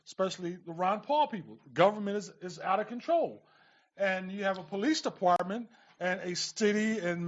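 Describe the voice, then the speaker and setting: A man with a distinctly high voice hosts a political talk show. His delivery is casual and halting, often doubling back on words. The audio has a muffled, radio-stream sound. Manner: halting, repeats words while forming thoughts.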